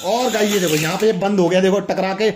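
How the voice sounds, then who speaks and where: High-pitched, enthusiastic young man. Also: fast, background noise. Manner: enthusiastic, repetitive, exclaiming. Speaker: toy review host. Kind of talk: casual product demonstration to viewers.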